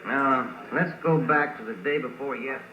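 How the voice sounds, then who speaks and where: An actor playing a folksy, dry-humored police detective, the junior partner in a 1940s radio drama. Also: male voice, mid-range voice, normal speed, crowd noise, lightly acted. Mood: pressing. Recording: old radio show through vintage set, roomy, dull.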